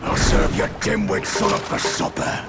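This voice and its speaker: A man's voice: normal speed, over loud music, natural voice. A man playing a coarse, bullying rat henchman in a video game cutscene, crude and taunting. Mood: threatening.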